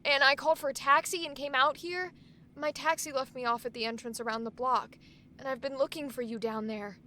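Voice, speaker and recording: A woman acts out a college-age daughter in a vintage radio drama. She speaks earnestly and apologetically in a high, girlish voice, with faint noise around her. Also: steady tone, brisk, bright, forceful tone. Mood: apologetic, earnest. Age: young adult. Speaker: actor in an audio drama. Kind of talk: old-time radio drama acting.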